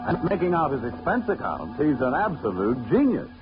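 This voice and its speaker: A man's voice: medium pitch, huge pitch swings, a little fast. An American man announcing a radio drama in an emphatic, showy 1940s scripted style. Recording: muffled 1940s radio broadcast with loud music.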